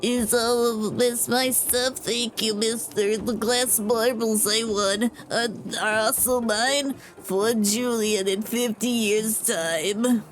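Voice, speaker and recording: Eager little boy game character, highish pitch, earnest and a bit cocky, music underneath. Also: steady tone, takes it slowly, hardly any pauses, low-quality recording. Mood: eager, grateful. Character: earnest, cocky young boy, adventure-loving kid. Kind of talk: video game voice acting.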